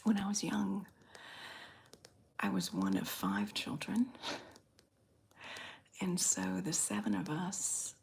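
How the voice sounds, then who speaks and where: A woman from the Southern US with a slight Southern accent, softly whispering in an unhurried, drawn-out way as she chats with viewers in an ASMR stream. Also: fairly low pitch, very animated, vocal fry, slight background noise. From Southern United States. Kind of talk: ASMR whispered live chat.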